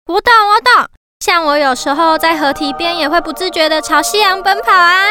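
Very high-voiced perky little girl. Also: normal speed, a crowd in the background. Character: cute perky little girl, teasing and dreamy. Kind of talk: children's radio drama acting.

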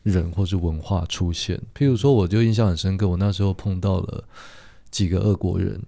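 A man with a very low, dark, soft-edged voice speaks in a dry room. He shares anecdotes casually as a guest, feeling his way and rephrasing. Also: fairly quick, creaky, no room sound. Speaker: guest actor. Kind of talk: casual anecdotal interview talk.

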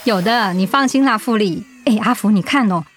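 An actress playing a chatty, cheeky but concerned coworker in a radio drama. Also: female voice, medium pitch, moderate pace, lightly acted.

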